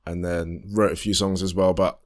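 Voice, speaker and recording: A man in his twenties with a London accent and a deep voice, talking in a laid-back, modest and rambling way as a guest musician on a chat show. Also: level tone, normal speed, a little mellow. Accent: London English. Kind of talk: casual chat.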